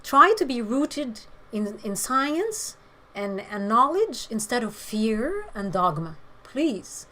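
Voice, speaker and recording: A woman with a hoarse voice, chatting frankly with viewers during a livestream and giving her opinions with many pauses. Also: fairly high pitch, very animated, pushed and bright. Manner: frank, opinionated, hesitant fillers. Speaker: livestream host. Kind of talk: casual chat answering viewer comments.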